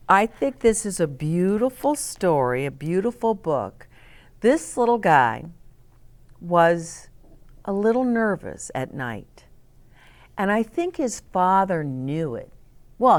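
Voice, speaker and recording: A woman hosting story time for young children, reading aloud with dramatic emphasis and explaining things simply with questions to the listener. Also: medium pitch. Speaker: children's storybook reader and host.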